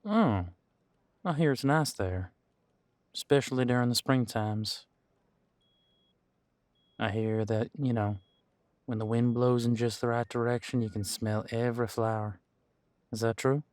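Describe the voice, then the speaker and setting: A man's voice: medium pitch, measured pace, long pauses, darkish tone, dry recording, lightly acted. A man playing a friendly, long-serving supernatural squad cop in an audio roleplay, chatting in an easygoing, folksy, slightly hesitant way. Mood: folksy, reminiscing. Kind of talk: conversational audio roleplay to an unseen partner.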